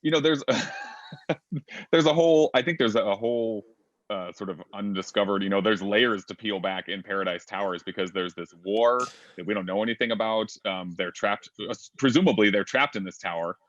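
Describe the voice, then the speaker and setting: A man's voice: on the low side, moderate pace, dry recording, dull audio. A man moderating a casual online fan panel, chatty and thinking aloud with many fillers.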